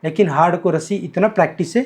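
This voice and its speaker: A man from Bihar with a voice pitched a little above most men's, talking as a guest teacher. He explains things eagerly, breaking each idea down, and puts real emphasis on his points. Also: quick pace, a little mellow. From Bihar, India. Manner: explains by breaking down verses, switches between Hindi and Marathi. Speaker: guest teacher, academy cofounder. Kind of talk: podcast conversation.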